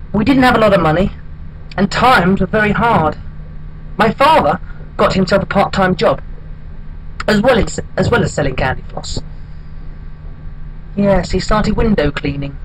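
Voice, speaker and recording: A man performing a comic monologue as a hapless, wistful single man, with a halting deadpan delivery. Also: high-pitched, slight background noise, rough recording. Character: hapless, wistful single man telling his odd life story.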